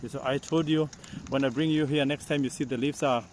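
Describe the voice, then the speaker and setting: A man from Kenya with a Kenyan accent, talking casually as a vlogger in a halting, repetitive way. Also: mid-range voice, steady tone, a little hiss or hum, poor audio. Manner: halting, repeats phrases. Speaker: vlogger, host. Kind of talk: casual outdoor vlog talk. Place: outdoors on a bicycle along village paths. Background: loud outdoor ambience.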